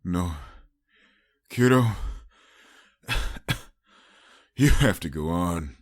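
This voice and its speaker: A man's voice: low-pitched, animated, drawn-out words, exaggerated voice. A man voicing an ailing, self-sacrificing adult stallion, out of breath, in an audiobook drama. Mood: resigned, breathless. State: out of breath, coughing. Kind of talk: audiobook character acting.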